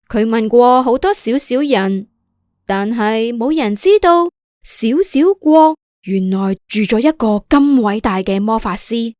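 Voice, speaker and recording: Casting: Hong Kong female narrator for children's stories, slow delivery, fairly high voice. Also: darkish tone, no room sound, studio quality. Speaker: storyteller narrator. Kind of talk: scripted children's story narration.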